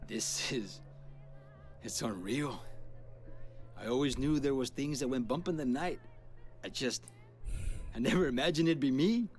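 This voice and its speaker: A male actor as a streetwise young-blood vampire from a video game, cocky and boastful. He speaks in full of slang and words, at an ordinary male pitch, with music playing behind him. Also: a little slow, long pauses, natural voice. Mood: amazed. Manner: boastful slangy talk peppered with Spanish.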